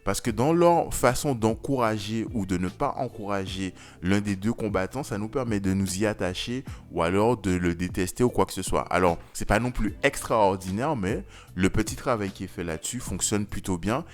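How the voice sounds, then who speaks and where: A man's voice: on the low side, normal speed. A man giving casual, conversational video commentary as a review host, opinionated and slangy in his wording.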